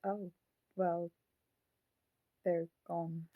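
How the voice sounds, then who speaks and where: A woman voicing the grown-up ghost of a murdered child in a puppet, speaking haltingly and solemnly in an audio drama. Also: on the low side, flat delivery, dry recording, phone-quality sound. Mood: evasive.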